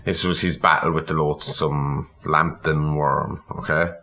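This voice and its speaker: A man's voice: rumbling low, flat delivery, a little slow, bright, forceful tone. A man hosting a casual chat, retelling a story in a rambling, irreverent way with humorous asides and some swearing. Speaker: podcast host.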